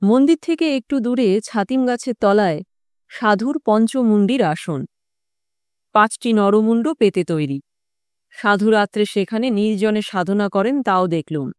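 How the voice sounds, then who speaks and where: Female reader as worldly-wise male tantric storyteller, reflective, mid pitch, dry audiobook sound. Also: a little mellow, clear, resonant tone, dry recording, close to an everyday voice. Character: male tantric occultist recounting his wandering youth.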